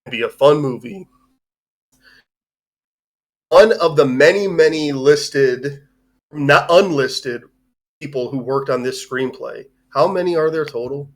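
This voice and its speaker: A man's voice: a little high, unhurried, long pauses. Casual man rambling through film talk. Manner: rambling, informal, swears casually. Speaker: host of a livestream discussion show.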